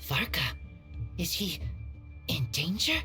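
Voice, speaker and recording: A woman playing an earnest, shy wild youth in a video game, speaking haltingly in simple short phrases. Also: lowish voice, sing-song, sharp tone, faint music behind, full character voice. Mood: worried, earnest.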